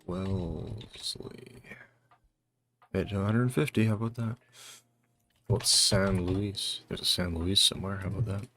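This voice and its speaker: A man casually thinking aloud as he hosts a guessing game video, halting and muttering to himself. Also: deep voice, fairly even intonation, pushed and bright, slight background noise. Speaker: host of a geography guessing challenge video.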